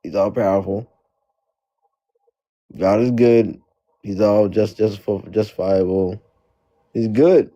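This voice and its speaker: Low mellow-voiced guy hosting, goofy and rambly, keeps repeating himself. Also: slow pace, long pauses, lo-fi sound. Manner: joking, rambling, repetitive. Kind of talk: casual chat.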